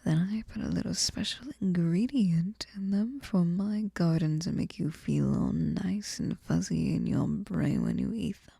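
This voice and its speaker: A woman's voice: on the low side, measured pace, gravelly, mellow, husky, no room sound, full character voice. A woman playing a sweet, possessive, lonely fairy in an intimate ASMR roleplay, cooing breathily and often slipping into whispers close to the mic. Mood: cuddly, doting. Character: sweet, clingy, possessive female fairy hostess, lonely and obsessive. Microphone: close microphone, dry sound.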